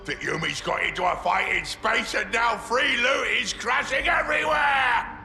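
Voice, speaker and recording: An actor playing a cunning, brash ork warboss who shouts and schemes in a gravelly voice. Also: male voice, very high-pitched, normal speed, echoey room, faint music behind, exaggerated voice. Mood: bellowing.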